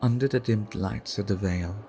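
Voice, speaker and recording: Guy doing a suave brooding vampire for asmr roleplay, gravelly voice, formal and slow, music playing behind. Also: fairly low pitch. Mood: measured, intrigued. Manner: slow, formal, theatrical storytelling. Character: suave, seductive male vampire artist, brooding and courteous. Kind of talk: intimate ASMR roleplay addressed to the listener. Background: background music.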